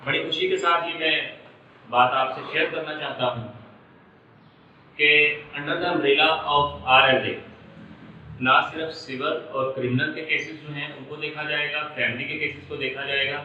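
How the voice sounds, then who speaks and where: A Pakistani man lecturing like a lawyer, explaining deliberately and repeating and rephrasing key points for emphasis. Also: on the high side, steady tone, pushed and bright, slight background noise. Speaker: lawyer giving law lectures. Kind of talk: explanatory lecture mixing Urdu and English. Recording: roomy, muffled, distant microphone.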